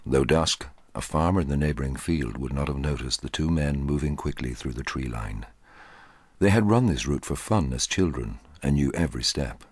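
A middle-aged man reading a short story aloud in a measured, dramatic way, with a husky voice. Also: a bass voice, expressive, no room sound, studio quality. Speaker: audiobook narrator.

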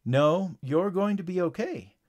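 A man's voice: a little high, big pitch swings, normal speed, a little mellow, husky. A man voicing a kind, reassuring male paramedic in a children's story. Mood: soothing. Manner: soothing, reassuring.

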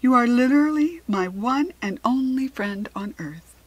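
A woman's voice: fairly high pitch, lively intonation, moderate pace, pitched above the performer's own voice. A woman voicing a polite, chatty, slightly superior talking hare from another world in a children's storybook, articulate and formal. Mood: friendly. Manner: articulate, formal, self-assured. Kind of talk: children's storybook character reading.

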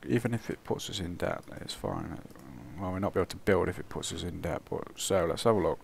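A man with a croaky, cold-roughened voice, casually commentating his own gameplay and chuckling at his mistakes. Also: low voice, a little fast, slight background noise. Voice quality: croaky, gravelly. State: hoarse from a cold. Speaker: let's play host.

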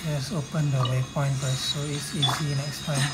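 Low-voiced Malaysian or Singaporean woman gamer. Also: flat delivery, moderate pace, very noisy. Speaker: gamer making a walkthrough. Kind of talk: casual gameplay commentary. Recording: loud game music behind the voice. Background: loud game music.

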